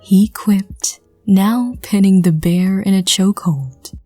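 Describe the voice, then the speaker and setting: A woman narrates a fan-made audio drama and speaks the listener's lines herself. She talks slowly, stumbling over words with nervous little laughs. Soft music runs quietly in the background. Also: medium pitch. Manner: stammering, laughing self-consciously.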